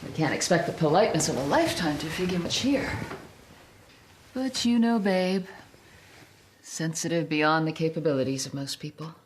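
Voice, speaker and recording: Devoted, composed wife of a dying man, low female pitch, formal drama delivery, faint noise. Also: lively intonation, normal speed. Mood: composed, wistful. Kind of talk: naturalistic drama.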